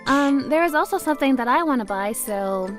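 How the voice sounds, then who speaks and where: A woman's voice: sits high, animated, a little fast. A woman voicing a brash teenage wannabe rebel girl in an anime-style romcom, secretly sweet, her bravado slipping into bashful stammers. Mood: shy, hesitant. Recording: music under the voice. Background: background music.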